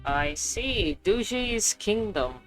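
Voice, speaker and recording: A woman's voice: medium pitch, lively intonation, slow. A woman giving casual, slangy, playful commentary as she plays a video game. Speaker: game streamer. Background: game music.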